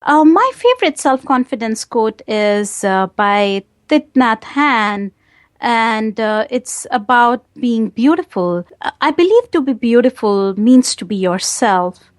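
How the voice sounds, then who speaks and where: A woman from India with an Indian accent, answering interview questions earnestly and in a measured way, with hesitant fillers. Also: a little high, lively intonation, no echo, clean studio sound. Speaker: guest, wellness coach.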